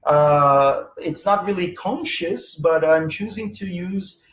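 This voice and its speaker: A man's voice: high voice, takes it slowly. Portuguese-speaking man lecturing in an echoey room. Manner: animated and searching, with frequent fillers and restarts. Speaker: teacher giving a talk. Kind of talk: lecture to an audience of teachers.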